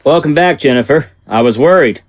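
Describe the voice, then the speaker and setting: A man's voice: medium pitch, expressive, brisk, dry recording, natural voice. A man playing an earnest, protective small-town sheriff in an audio drama, with a folksy, plain-spoken manner. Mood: reassuring.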